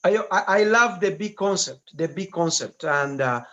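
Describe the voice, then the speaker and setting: High-voiced animated man on lo-fi audio. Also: moderate pace. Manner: animated, urging viewers to comment. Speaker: host. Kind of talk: live-stream talk show hosting. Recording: dull lo-fi sound.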